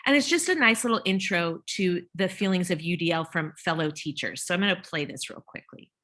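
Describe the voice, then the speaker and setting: A woman's voice: lowish voice, expressive, a little fast, fairly bright tone. A woman presenting a teacher-training workshop over a video call, chatty, with fillers and restarts. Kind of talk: casual conference presentation.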